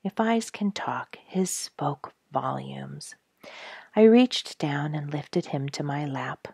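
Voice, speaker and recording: A woman narrates a storybook in a low voice with a gravelly creak at the edges. She reads in measured, expressive phrases, like a host telling a tale. The sound is dry, with very little room around it. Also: no room sound. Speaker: story reader, show host. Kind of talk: scripted storybook narration read aloud.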